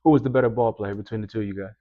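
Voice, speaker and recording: A man's voice: fairly low pitch, fairly even intonation, rapid-fire, narrow, tinny audio. A man in his thirties hosting a casual interview podcast, chatty and enthusiastic. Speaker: podcast host.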